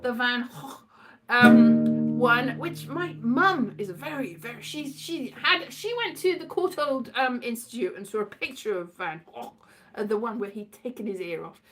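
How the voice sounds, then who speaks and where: A woman's voice: fairly high pitch, moderate pace, a bit bright, soft background music. A woman giving a rambling, casual comic monologue with a theatrical, wry, exasperated manner, slipping into sing-song and mimicked exchanges. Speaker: solo performer of a radio play monologue.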